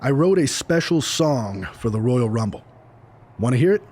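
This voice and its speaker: A man's voice: medium pitch, lively intonation, moderate pace, natural voice. A man playing a smug, self-important guitar-playing wrestler in a video game cutscene. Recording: acoustic guitar playing behind.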